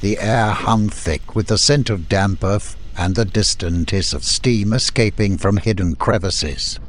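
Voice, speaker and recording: A man's voice: lowish voice, unhurried, a little hiss or hum. A man reading scripted fantasy narration as an audiobook storyteller.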